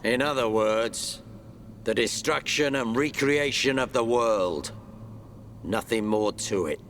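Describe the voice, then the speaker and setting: A contemptuous servant of a god in a video game. His mid-pitched voice is theatrical and haughty, drawn out slowly over faint background noise. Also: a bit bright. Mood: coolly dismissive. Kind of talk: dramatic game cutscene acting.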